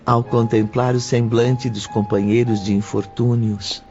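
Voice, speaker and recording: Slow, solemn male story narrator. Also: mid-range voice, level tone, darkish tone. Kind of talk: scripted dramatic narration. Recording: slightly muffled, music underneath.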